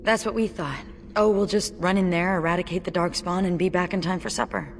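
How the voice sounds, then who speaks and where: A woman's voice: on the low side, fairly even intonation, a little fast, slight background noise, close to an everyday voice. An actress playing a brave, wry dwarf warrior woman in a video game, speaking in an understated, matter-of-fact way. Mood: rueful, bitter. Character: brave wry female dwarf warrior, sole survivor of her legion.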